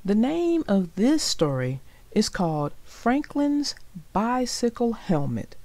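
A woman narrator reading aloud for young children in a deliberate, expressive way. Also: fairly high pitch.

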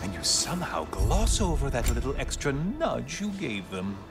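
A man's voice: medium pitch, wildly swooping pitch, moderate pace, creaky, over loud music. A man playing a taunting, theatrical villain in a video game, sardonic and mocking in his delivery. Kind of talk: dramatic video game acting.

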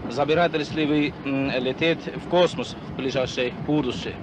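A middle-aged man asking formal, halting questions as a television interviewer. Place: small train compartment. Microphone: shared handheld microphone. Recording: noisy 1960s archival film sound, train rumble.

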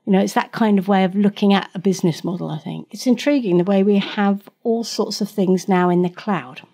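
A woman's voice: medium pitch, fairly quick, dark tone, husky. A middle-aged woman answering interview questions in a chatty way, with frequent restarts. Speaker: guest expert.

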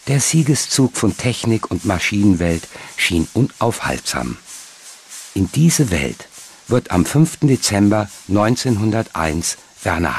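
A man narrating a documentary from a script in a measured, articulate way. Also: fairly low pitch, slight background noise.

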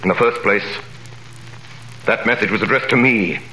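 Edmond Dantes, vengeful count. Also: male voice, lowish voice, normal speed, a little hiss or hum. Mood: accusing. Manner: commanding, theatrical, swings from cold hush to thundering. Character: vengeful nobleman, a wronged man returned in triumph. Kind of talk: 1930s radio drama acting. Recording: old radio recording in a roomy space.

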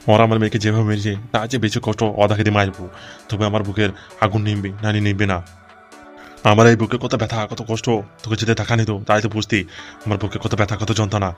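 A man's voice: fairly low pitch, close to an everyday voice. A man playing a coarse, blustering, hot-tempered gangster boss and harried father in an amateur comic cartoon dub.

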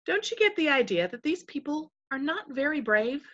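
A woman's voice: sits high, big pitch swings, normal speed, dull audio, voice pushed up. A woman voicing a brave, plain-speaking young girl with an earnest, questioning manner in a storybook. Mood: resolute.

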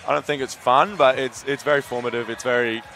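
A young man with an Australian accent, a college basketball player giving an upbeat, relaxed postgame interview on a headset mic courtside in an indoor gym. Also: mid-range voice, quick pace, rough recording. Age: young adult. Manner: upbeat, relaxed, repeats "absolutely".

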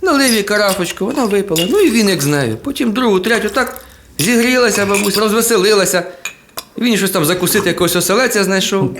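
A man's voice: sits high, quick pace, background noise. A man telling a folk tale in a colloquial, folksy way with playful asides. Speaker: storyteller. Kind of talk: oral folk tale storytelling. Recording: guitar music behind the voice. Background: plucked guitar music.

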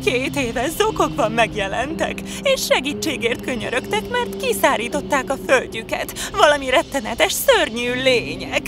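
A woman's voice: high voice, sing-song, hardly any pauses. A woman voicing an emotional creature in a children's fairy tale cartoon, theatrical and emphatic. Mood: alarmed. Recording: music under the voice.

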